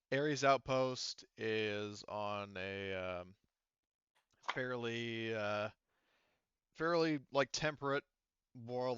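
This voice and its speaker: A man with a slightly low, smooth voice acts as game master. He narrates casually and haltingly, rambling and hedging as he improvises. The sound is close and dry, a little dulled. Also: a bit bright, no room sound, highs cut off.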